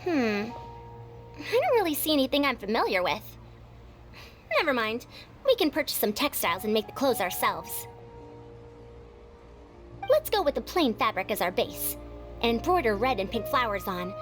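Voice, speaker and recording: A woman's voice: fairly high pitch, sing-song, normal speed, long pauses, soft background music. A woman playing a self-assured, bossy, old-fashioned senior scholar in an anime video game, with an assertive, instructing manner. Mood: decisive.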